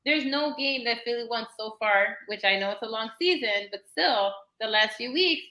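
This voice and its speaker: A woman's voice: fairly high pitch, animated, normal speed, bright, forceful tone. A woman panelist giving football opinions in casual chat, talking in long runs full of "like" and "you know what I mean". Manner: talkative, filler-heavy, lots of "like" and "you know". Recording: echoey room.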